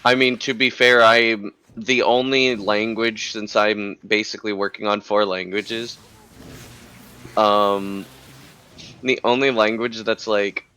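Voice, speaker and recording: A man, chatting casually and telling stories in an animated way. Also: fairly low pitch, takes it slowly, smooth, poor audio. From United States. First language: English. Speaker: guest chatting with the streamer. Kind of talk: casual chat.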